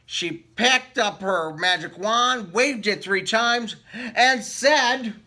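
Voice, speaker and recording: Man, animated storybook reader, very bright voice, unhurried pace, playful asides to young listeners. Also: high-pitched. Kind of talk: animated picture book read-aloud with asides to listeners.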